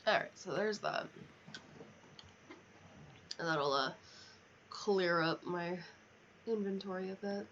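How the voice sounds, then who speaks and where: Casting: female game streamer, mid pitch, casual thinking-aloud mutter, muffled lo-fi recording with noise behind. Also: takes it slowly, long pauses, fairly bright tone. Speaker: livestreamer. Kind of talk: casual chat while playing a video game. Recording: dull lo-fi mic, clear background noise.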